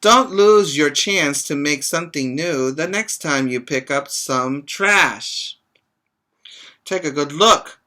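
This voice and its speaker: A middle-aged man, a preschool teacher, reading and explaining to young children in a simple, expressive way with short asides. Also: on the high side, takes it slowly. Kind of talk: reading a children's book aloud and chatting to young pupils. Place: small room, indoors. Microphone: webcam or laptop mic at arm's length.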